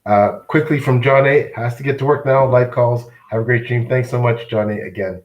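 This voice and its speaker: A man hosting a casual live-stream interview, often halting and searching for words. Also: mid-range voice, steady tone, muffled recording.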